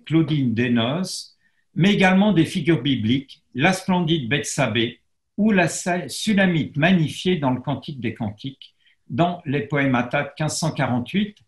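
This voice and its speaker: Slow, hesitant man lecturing. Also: on the high side, roomy sound. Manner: hesitant, frequent pauses and restarts; self-deprecating about his Latin pronunciation. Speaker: historian lecturing. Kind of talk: academic lecture, reading translations. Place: lecture setup facing a camera, roomy.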